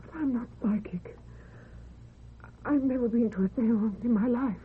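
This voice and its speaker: A woman's voice: on the high side, unhurried, close to an everyday voice. An actress playing a timid, lonely young woman, hesitant, dreamy and earnest, in old-time radio drama style. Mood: dreamy wonder. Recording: dull lo-fi old radio recording, hiss.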